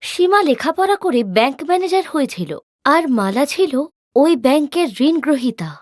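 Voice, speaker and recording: High, animated female storyteller. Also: normal speed, no echo, clean studio sound. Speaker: narrator. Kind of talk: scripted cartoon storytelling narration.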